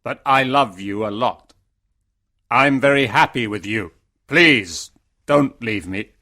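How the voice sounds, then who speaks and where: Man acting a slow, forceful, lovelorn Beast. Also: medium pitch, expressive. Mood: pleading, lovelorn. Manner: earnest, deliberate, simply phrased. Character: ugly but kind, lovelorn, sorrowful male Beast. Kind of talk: fairytale storybook dialogue for English learners.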